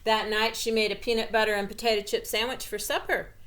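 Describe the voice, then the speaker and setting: A woman reading a children's picture book aloud in an expressive, deliberate way. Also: a little high, a little hiss or hum. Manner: slow, deliberate, explanatory delivery for children. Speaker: storybook reader.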